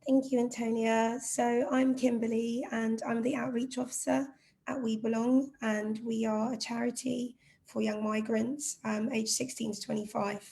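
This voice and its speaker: Young woman from Zimbabwe, charity outreach worker; fairly high, gravelly voice with little pitch movement, earnest. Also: little pitch movement, normal speed, warm tone, rough recording. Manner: earnest, reflective. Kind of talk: panel discussion.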